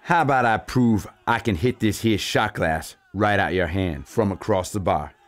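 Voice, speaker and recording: A tough, feared frontier sheriff, acted by a man in a Western audio play. He has a low voice and a folksy drawl that carries menace. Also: moderate pace, lightly acted. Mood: menacing.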